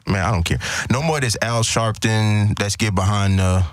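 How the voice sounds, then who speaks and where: A man's voice: on the low side, moderate pace. A man speaking as a podcast panelist, giving opinions in a casual, conversational way.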